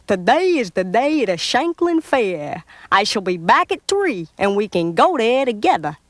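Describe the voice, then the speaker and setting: A woman's voice: fairly high pitch, big pitch swings, moderate pace. A woman voicing a sly male storybook wolf with a casual, coaxing, slick way of talking. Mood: cajoling. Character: sly, cajoling male big bad wolf. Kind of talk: storybook character voice.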